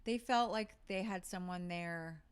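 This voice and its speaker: A woman's voice: lowish voice, measured pace, clean tone. A woman actor talking casually in an interview, thinking aloud with many fillers and restarts. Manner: thoughtful, with frequent fillers and restarts. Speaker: actor and guest.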